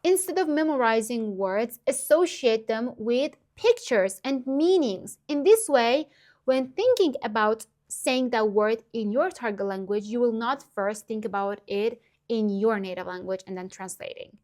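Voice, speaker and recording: A woman's voice: a little high, measured pace, a little mellow. Upbeat young Iranian woman vlogger. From Iran. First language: Persian. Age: 20s. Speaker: language-learning vlogger. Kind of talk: direct-to-camera tutorial vlog.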